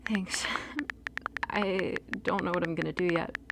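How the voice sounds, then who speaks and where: A woman's voice: on the low side, fairly even intonation, measured pace, creaky, background noise. A young woman playing an anxious, wounded girlfriend in an audio drama. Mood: hurt, distressed. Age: young adult.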